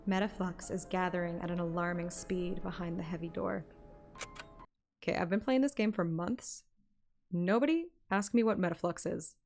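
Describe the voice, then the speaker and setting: A woman's voice: fairly low pitch, normal speed, a little mellow, soft background music. A woman narrating a story like an audiobook, with casual streamer asides. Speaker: streamer reading a game story aloud.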